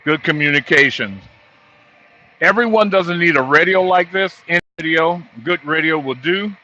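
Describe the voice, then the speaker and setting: A middle-aged or older man, a trucker, giving an informal, halting walkthrough of gear from inside his truck cab. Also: fairly high pitch, pushed and bright. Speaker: truck driver vlogger. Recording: dull lo-fi audio.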